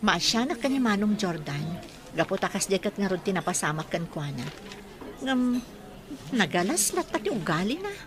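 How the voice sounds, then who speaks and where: An actress playing an exasperated younger sister, a singer, in a radio drama. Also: female voice, mid-range voice, sing-song, normal speed, background noise, lightly acted. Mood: calmer, confiding. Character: popular singer Adelfa, exasperated with her wayward older brother. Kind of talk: Ilocano radio drama acting.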